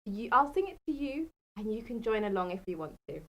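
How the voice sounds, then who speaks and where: High, very animated woman storyteller. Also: fairly quick, a little hiss or hum. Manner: dramatic, emphatic, asks the listeners questions. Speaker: children's storyteller. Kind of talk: bedtime Bible storytelling for children.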